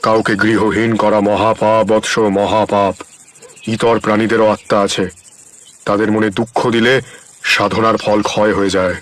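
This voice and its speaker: An actor playing a kindly, wise wandering ascetic in an audio story drama, speaking in a gentle, earnest, philosophical manner. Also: male voice, fairly low pitch, level tone, unhurried. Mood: gently earnest. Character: wandering holy man, kindly, wise, plain-spoken ascetic.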